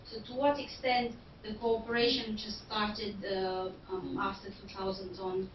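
A woman's voice: medium pitch, little pitch movement, moderate pace. Hesitant woman asking from far off. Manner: hesitant, many fillers and restarts. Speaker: audience member asking questions. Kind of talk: academic question-time. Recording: distant, roomy, dull and noisy hall recording.

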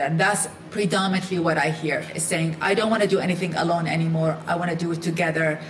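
A woman's voice: fairly low pitch, echoey room, slight background noise, low-quality recording. A woman who grew up in Iraq, speaking on a live panel in an earnest, emphatic way and often restarting her sentences.

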